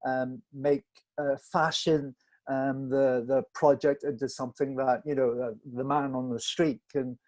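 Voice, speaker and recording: A man answering as a director in a Q&A, halting and searching for his words in a self-effacing, conversational way. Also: medium pitch, level tone, darkish tone. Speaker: film director interviewed on a panel. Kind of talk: conversational Q&A explanation.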